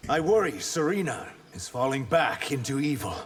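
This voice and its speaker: An actor playing an earnest, guarded young shapeshifting warrior in a video game. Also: male voice, mid-range voice, sing-song, moderate pace, slight background noise, lightly acted. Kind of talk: video game character dialogue.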